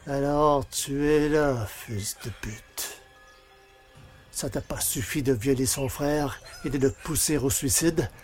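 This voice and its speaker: An actor playing a bitter, vengeful village carpenter, speaking in a brooding, menacing monologue for a French audio drama. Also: male voice, medium pitch, takes it slowly, a little mellow, faint music behind, lightly acted. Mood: furious, threatening.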